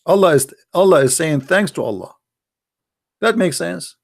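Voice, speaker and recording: A man's voice: fairly high pitch, animated, normal speed, creaky. A man giving an argumentative religious monologue, full of rhetorical questions, in slightly broken English. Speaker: religious debater. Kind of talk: polemical monologue.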